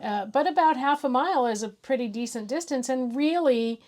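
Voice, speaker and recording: American woman, higher-pitched voice, chatty, casual product review, co-host, conversational. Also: moderate pace, darkish tone.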